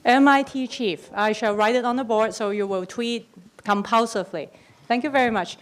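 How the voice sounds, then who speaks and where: A woman's voice: a little high, fairly even intonation, moderate pace. A woman moderating a conference panel, introducing each speaker with a playful tone and frequent ums. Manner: bright, playful, with fillers. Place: conference room.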